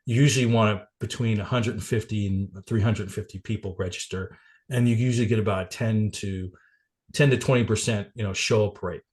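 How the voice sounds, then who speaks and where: A man's voice: on the low side, steady tone, brisk, a little mellow. A man getting over a cold, a little congested and coughing, giving a casual business presentation on a video call. He rambles with many fillers and restarts. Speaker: guest presenter at a networking meeting.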